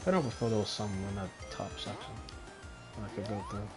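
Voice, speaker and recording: A man's voice: fairly low pitch, very animated, fast. A young adult man streaming a video game with casual, profane, slangy commentary. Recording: game music behind the voice.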